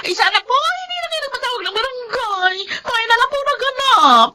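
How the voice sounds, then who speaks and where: A woman's voice: very high voice, very animated, moderate pace, background noise. A woman playing a defensive, pleading young housemaid in a Filipino radio drama, speaking deferentially with a Filipino accent. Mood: defensive, nervous.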